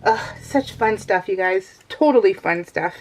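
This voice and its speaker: A woman's voice: mid-range voice, measured pace, faint music behind, rough recording. A middle-aged woman chatting casually as a craft vlogger, giggly and easily sidetracked as she rambles along. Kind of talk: casual chat, rambling vlog.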